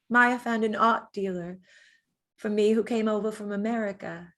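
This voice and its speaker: Woman acting a wistful, high-voiced dead lover. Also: fairly bright tone. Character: dead gentle lovelorn woman, artist's former lover, remembering. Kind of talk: dramatic monologue in an audio play reading.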